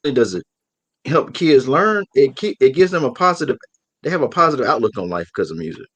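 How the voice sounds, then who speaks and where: A man's voice: mid-range voice, a little fast, slightly rough, no room sound. A young adult man co-hosting an interview, talking earnestly with many restarts. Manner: earnest, animated, trailing restarts. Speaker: co-host. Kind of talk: casual interview chat.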